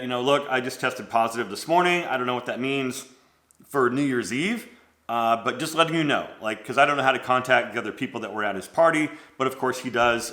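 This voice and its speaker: A man's voice: medium pitch, sharp tone, echoey room. A man in his forties with a stuffy nose from a cold, hosting a casual vlog and rambling through his thoughts with a lot of "like" and restarts. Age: 40s. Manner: rambling, self-interrupting, lots of "like". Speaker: fashion channel host.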